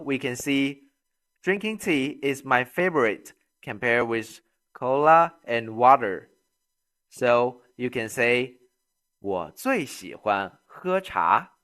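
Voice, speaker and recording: A man presenting a scripted language lesson as a grammar teacher, instructional and deliberate, with read-after-me drills. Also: medium pitch, fairly bright tone.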